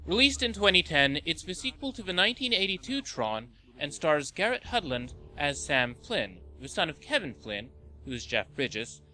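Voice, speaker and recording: A man's voice: a little high, very animated, normal speed, pushed and bright, rough recording. A man reading a scripted review narration as the host of a countdown list video.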